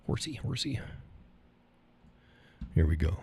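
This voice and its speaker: A man's voice: sits low, very animated, slow, vocal fry, dark tone, slight background noise. A man with a stuffy nose, hosting a casual live stream, rambling in a folksy way and reading chat messages aloud. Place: home workshop room.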